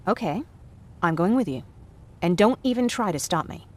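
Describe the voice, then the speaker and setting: A woman's voice: lowish voice, expressive, normal speed, a little mellow, a little hiss or hum, lightly acted. An actress playing an earnest, talkative young woman who survived a war, in a video game. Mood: candid, determined. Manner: candid, chatty. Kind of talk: naturalistic video game acting.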